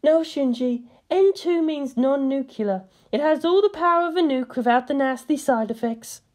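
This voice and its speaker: A playful woman soldier in an anime-style audio story, voiced by a woman narrator. Her pitch sits high, and she talks in a lively, impish, teasing way. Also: normal speed. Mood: businesslike.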